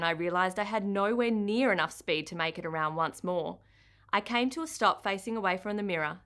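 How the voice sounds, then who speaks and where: A woman with an Australian accent reads a children's novel aloud in the first person. Her pitch is medium, and her delivery is expressive storytime narration. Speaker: author reading her own book.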